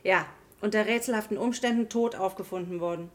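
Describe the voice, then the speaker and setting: A woman's voice: mid-range voice, brisk, lightly acted. A woman playing a flight school assistant in a radio drama, clipped, stern and suspicious. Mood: curt, guarded. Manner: clipped, stern, matter-of-fact. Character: curt, suspicious female flight instructor's assistant.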